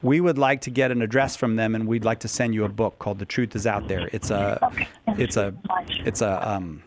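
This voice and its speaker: A man's voice: on the low side, fairly even intonation, moderate pace, a little hiss or hum. Friendly male radio host. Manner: friendly, stumbling restarts. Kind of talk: call-in radio hosting.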